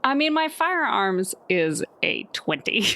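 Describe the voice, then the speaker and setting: A woman's voice: medium pitch, big pitch swings, slow, gravelly, fairly bright tone. Woman casually playing a tabletop game. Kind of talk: casual chat.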